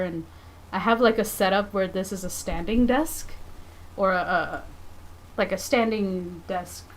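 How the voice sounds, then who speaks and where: A woman's voice: mid-range voice, a little hiss or hum. A woman in her thirties chatting casually on a livestream from her home drawing desk, rambling and self-deprecating. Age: 30s. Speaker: comic artist streamer.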